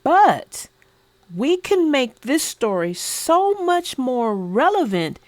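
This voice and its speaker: A woman reading a children's book aloud in an animated, expressive, sing-song way. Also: high voice, takes it slowly, no room sound. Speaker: storyteller.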